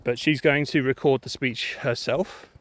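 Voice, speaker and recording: A man's voice: medium pitch, a little slow, no echo. A man from England chatting casually while walking outdoors, rambling with lots of fillers and a bit out of breath. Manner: rambling, relaxed, many fillers. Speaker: vlogger. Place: outdoors in windy countryside. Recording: loud wind noise on outdoor camera mic.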